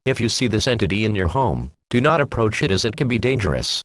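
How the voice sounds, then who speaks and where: A man's voice: fairly low pitch, fast talker, dry recording. Formal male emergency alert announcer, dry sound. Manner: flat, official, impersonal. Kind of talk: formal scripted emergency bulletin.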